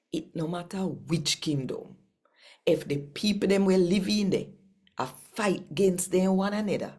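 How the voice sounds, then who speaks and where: A woman with a Jamaican accent reading scripture expressively and addressing listeners directly, with deliberate, emphatic storytelling and pauses. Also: fairly low pitch, warm tone. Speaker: host reading daily scripture.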